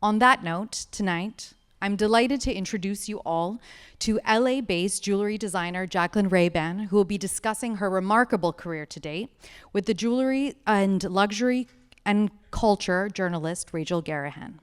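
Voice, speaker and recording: A woman hosting a gallery event, reading a formal, measured introduction to an audience in an event hall. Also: mid-range voice, smooth. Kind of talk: scripted introduction.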